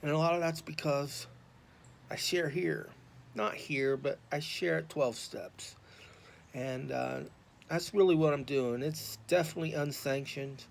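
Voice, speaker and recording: An American man talking off the cuff to viewers, rambling and halting but earnest and informal. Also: fairly high pitch. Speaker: YouTube vlogger and podcaster on addiction recovery. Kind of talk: impromptu, unscripted casual monologue to viewers. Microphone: phone. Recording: clear background noise, raw phone recording.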